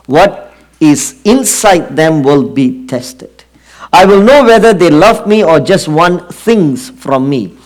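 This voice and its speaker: A middle-aged man from Singapore with a Singaporean accent, preaching an earnest sermon and often pausing on fillers. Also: a little high, darkish tone. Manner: earnest, drawn-out emphasis with frequent fillers.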